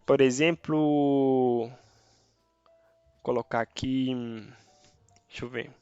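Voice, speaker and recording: A man's voice: medium pitch, fairly even intonation, slow pace, dark tone, smooth, no echo, muffled recording, faint music behind. A man whose first language is Spanish, teaching Spanish grammar in a casual online lesson and checking in often with his viewers. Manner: chatty, checks in with tá and beleza.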